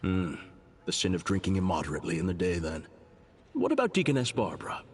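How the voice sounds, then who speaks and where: A man playing a composed, devout cardinal in a video game, speaking formally and with gentle wryness. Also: deep voice, huge pitch swings. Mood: dryly wry. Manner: formal, measured, gently wry. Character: composed, devout male cardinal, a fatherly churchman. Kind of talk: scripted video game dialogue.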